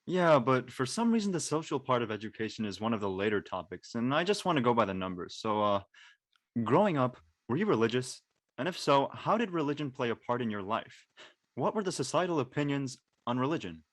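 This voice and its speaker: Animated, laid-back young male student acted by a man. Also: mid-range voice, fairly quick, no room sound, studio quality, lightly acted. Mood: inquisitive. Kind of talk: casual live script reading.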